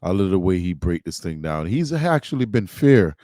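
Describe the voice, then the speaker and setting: Deep, mellow American man giving commentary. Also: big pitch swings, normal speed, no room sound, clean studio sound. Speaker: reaction video commentator. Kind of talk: casual off-the-cuff commentary.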